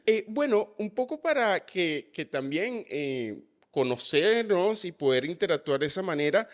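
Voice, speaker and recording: A young Venezuelan man hosting a casual interview, chatty and animated, with jokey asides. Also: high voice, moderate pace. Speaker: host.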